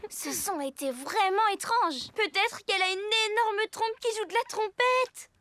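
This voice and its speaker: Little cartoon girl, extremely high pitch, slowish pace, cheerful, playful, exclamatory. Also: heavy background noise. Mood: curious, excited. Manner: exclamatory, giggly, playful sound-making. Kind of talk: animated preschool cartoon dialogue.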